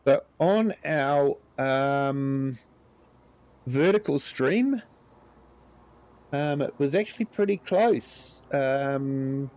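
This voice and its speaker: Slow-talking New Zealand man, slightly croaky. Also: medium pitch, no echo, lo-fi sound. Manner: relaxed, chatty, greets viewers by name, many ums. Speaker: livestream host. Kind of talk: live gameplay commentary.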